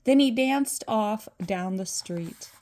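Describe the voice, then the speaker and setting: Older woman, grandmother storyteller, slow deliberate pace, medium pitch, children's storybook narration. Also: expressive, a little mellow. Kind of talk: storybook read-aloud narration.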